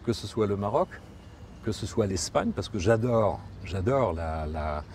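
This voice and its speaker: A man whose first language is Spanish, speaking in a reflective documentary interview, thoughtful and halting, often repeating and rephrasing as he searches for words. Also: on the low side, lively intonation, a little mellow, slight background noise.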